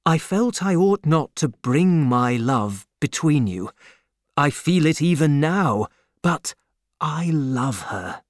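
Brief: earnest young gentleman, read by a man, slow and sincere, lively intonation. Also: fairly high pitch, dry recording, clean studio sound. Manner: earnest, measured.

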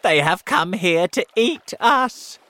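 A man's voice: high-pitched, lively intonation, slow, bright, forceful tone, no echo, voice pushed up, full character voice. A man voicing a frightened, self-pitying fat earthworm in a storybook, wailing and melodramatic. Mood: alarmed.

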